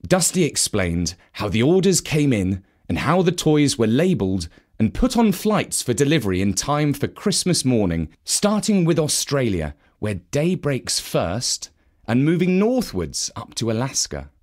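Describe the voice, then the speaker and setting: A man narrating a children's story from a script in an expressive, measured manner. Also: medium pitch.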